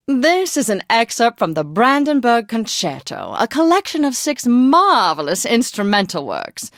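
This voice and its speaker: A woman's voice: fairly high pitch, a bit bright, dry recording, studio quality, exaggerated voice. An actress playing a refined, gushing woman who hosts classical radio with prim, old-fashioned theatrical diction. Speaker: radio host. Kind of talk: scripted classical radio DJ patter.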